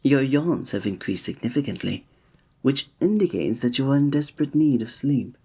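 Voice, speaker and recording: Man playing a soft, breathy, gallant fantasy innkeeper. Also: medium pitch, animated, normal speed. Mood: polite, attentive. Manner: formal, old-fashioned, gallant, gentle phrasing. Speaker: ASMR roleplay performer. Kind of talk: scripted romantic ASMR roleplay.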